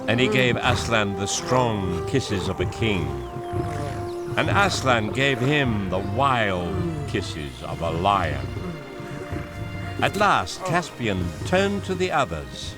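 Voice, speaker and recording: An actor playing a grave, wondering storybook narrator, telling a radio drama in a measured, expressive way. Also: male voice, fairly low pitch, over loud music. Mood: warm.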